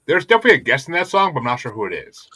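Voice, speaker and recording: A man hosts a casual music podcast, cursing freely and rambling through his opinions. His voice has a bright, forward edge. The sound is dry and close to the mic. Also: medium pitch, racing, no room sound. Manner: profane, animated, rambling. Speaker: podcast host.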